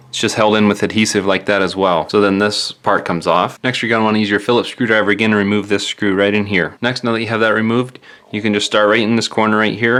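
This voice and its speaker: Low-pitched man giving a casual repair tutorial. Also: fairly bright tone. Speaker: DIY phone repair presenter. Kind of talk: casual step-by-step tutorial narration.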